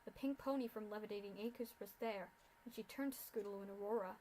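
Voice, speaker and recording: A woman reading a dark fan fiction story aloud in steady narration. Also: mid-range voice, fast, a little hiss or hum, rough recording.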